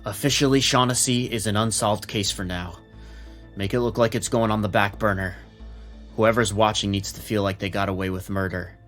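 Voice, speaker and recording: A man playing a shrewd, gruff, plain-spoken police captain in a noir audio drama. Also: fairly low pitch, fairly even intonation, moderate pace, a bit bright, soft background music, natural voice. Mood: curt, commanding. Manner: plain-spoken, streetwise, in command.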